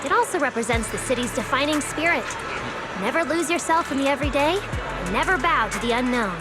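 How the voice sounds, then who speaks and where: A woman voicing a lively, chatty young city guide who explains things in video game story dialogue, with music behind her. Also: high voice, a little fast. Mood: earnest.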